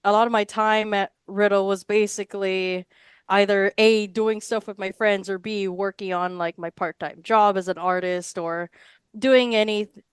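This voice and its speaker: A woman's voice: medium pitch, clear, resonant tone, no echo, clean studio sound. A woman in her twenties from Hawaii, chatting casually as a podcast guest and rambling freely with many fillers. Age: 20s. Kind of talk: casual interview answers.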